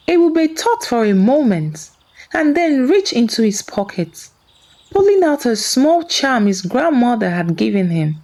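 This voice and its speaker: Woman storyteller, scripted folktale narration, lively intonation, soft dark tone. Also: mid-range voice.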